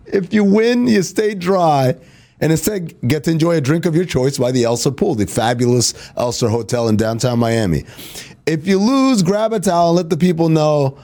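A man's voice: a little high, lively intonation, moderate pace, warm tone. Playful sports-show host, man. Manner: playful, teasing, laughs often. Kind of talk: sports talk show hosting.

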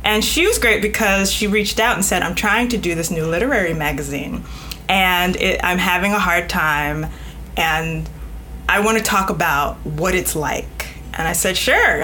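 A woman's voice: on the low side, sharp tone, a little hiss or hum. A woman speaking frankly and conversationally as a podcast guest, stressing points through repetition. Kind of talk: casual interview talk.

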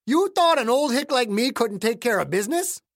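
Grumpy older man, higher-pitched, cantankerous, folksy, storybook character. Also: expressive, normal speed, no echo, studio quality. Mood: hostile. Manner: cantankerous, folksy, tacks "eh" onto sentences. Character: gruff scowling older man, surly foul-mouthed island caretaker.

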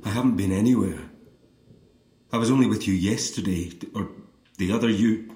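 An actor playing a headstrong young twin in an audio drama, bewildered and distrustful, questioning haltingly and intensely. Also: male voice, on the low side, dark tone, roomy sound, close to an everyday voice. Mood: confused, suspicious. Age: young adult.

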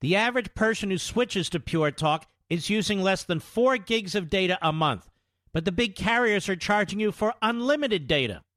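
A man's voice: sits high, animated, moderate pace, fairly bright tone, dry recording, clean studio sound. A man reading a radio ad in a direct, salesy way. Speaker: ad announcer. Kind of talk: scripted ad read.

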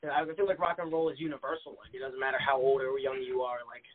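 A man's voice: medium pitch, level tone, fast, husky. A twenty-year-old man, a rock guitarist, answering interview questions in a laid-back, chatty way. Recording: tinny phone-like sound.

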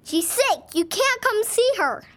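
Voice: very high voice, big pitch swings, measured pace, exaggerated voice. An actor playing an eerie, polite little boy from down the street in an audio drama. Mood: defiant, upset. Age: child. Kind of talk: audio fiction acting.

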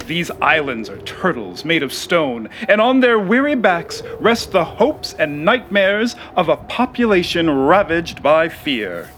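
An actor playing the grandiose, ominous narrator of a satirical sci-fi serial, speaking in a dramatic, deliberate way. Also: male voice, on the high side, sing-song, a bit bright, slight background noise. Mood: solemn, ominous. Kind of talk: theatrical scripted narration.

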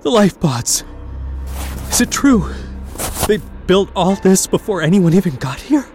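A stubborn male space traveler near death in a sci-fi radio play. His high voice is highly animated, impassioned and defiant, with a slowish delivery over prominent music. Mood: excited. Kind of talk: science fiction audio drama acting. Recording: loud music and vehicle rumble behind.

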